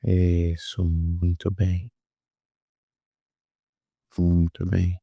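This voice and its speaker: A man with a Brazilian Portuguese accent leading a guided meditation, soothing and repetitive, with pauses between drawn-out phrases. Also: sits low, monotone, vocal fry, mellow, no echo. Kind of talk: guided meditation, hypnotic induction.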